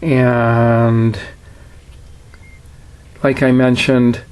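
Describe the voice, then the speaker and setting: A man with a mid-range voice talks at a slow pace with very little rise and fall. He explains things in a plainspoken, matter-of-fact way, as in an informal hands-on product demonstration. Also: medium pitch, flat delivery, a little mellow, clear, resonant tone. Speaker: seller demonstrating a vintage radio. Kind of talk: casual product walkthrough.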